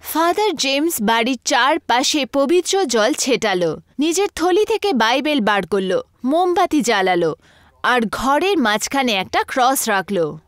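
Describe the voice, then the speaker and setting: A woman's voice: high-pitched, big pitch swings, unhurried, dry recording, clean studio sound. A woman narrating a scripted horror story in a dramatic style.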